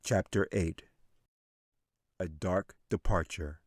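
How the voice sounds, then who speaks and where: A man with a very low voice narrates a fantasy tale in a measured, dramatic storytelling style. The sound is dry and close, like a studio audiobook. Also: no echo. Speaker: author reading own audiobook.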